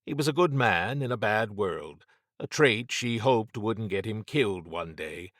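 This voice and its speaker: Low-voiced male audiobook narrator. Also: lively intonation, a little slow, fairly bright tone, no echo, studio quality. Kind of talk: dramatic scripted fiction narration.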